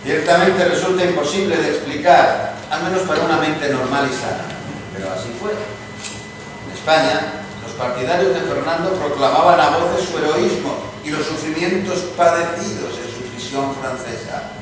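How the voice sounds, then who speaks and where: An actor playing a wry, scornful gentleman storyteller in a dramatized reading. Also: fairly high pitch, normal speed, natural voice. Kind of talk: dramatized narration in dialogue. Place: live reading in a reverberant venue. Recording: echoey hall from far off, clear noise.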